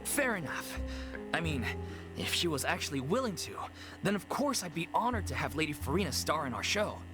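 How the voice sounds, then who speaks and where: A man's voice: on the high side, very animated, a little fast. A man playing an earnest, downhearted young amateur theater troupe member in an anime video game, polite and hesitant. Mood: hesitant, hopeful. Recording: music behind the voice.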